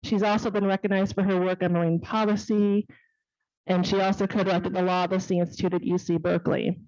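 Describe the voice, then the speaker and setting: Woman host reading bios, dry dull-sounding audio, even and formal. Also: lowish voice, fairly quick, no room sound. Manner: steady, formal introduction reading. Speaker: event host introducing speakers.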